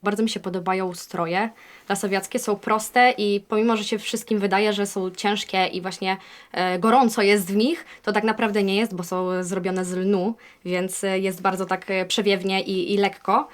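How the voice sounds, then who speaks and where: A young woman sharing personal recollections informally, with a halting delivery. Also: medium pitch, steady tone.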